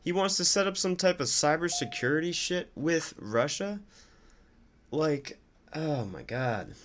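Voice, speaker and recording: A man doing casual political reaction commentary, sardonic, incredulous and quick to swear. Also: on the high side, lively intonation, fairly bright tone. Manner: sardonic, incredulous, foul-mouthed. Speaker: streamer commenting on news clips.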